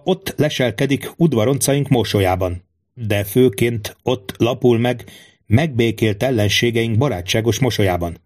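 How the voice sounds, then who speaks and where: A man's voice: mid-range voice, darkish tone, close to an everyday voice. A wily king, acted out by a male narrator for a storybook. He muses rhetorically and theatrically, distrusting everyone while sounding fatherly and scheming. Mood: brooding, ironic.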